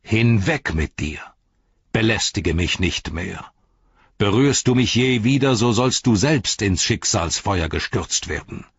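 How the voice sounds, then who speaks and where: A man's voice: lowish voice, slow, slightly rough, no echo, dull audio, exaggerated voice. A man voicing a resolute, pitiless hero in a storybook, speaking imperiously and solemnly. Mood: commanding, wrathful. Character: stern, commanding male hero, pitiless, resolute.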